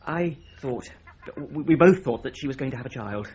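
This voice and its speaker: An actor playing a well-bred young British solicitor under suspicion in a radio drama, indignant and hesitant, with clipped, stammering politeness. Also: male voice, mid-range voice, expressive, normal speed, darkish tone, breathy edge, muffled recording. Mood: earnest, agitated.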